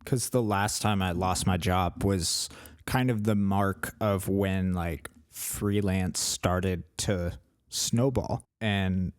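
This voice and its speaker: A man's voice: deep voice, fairly even intonation, slow pace, clean tone, no echo, clean studio sound. A man in his twenties co-hosting a podcast, talking in an earnest, rambling way with many fillers. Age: 20s. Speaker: podcast co-host. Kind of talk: casual chat.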